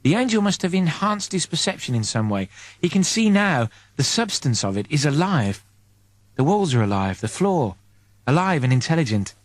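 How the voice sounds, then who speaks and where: A man's voice: fairly high pitch, big pitch swings, normal speed, no echo. A man narrating a story in the present tense as a dramatic audiobook reader.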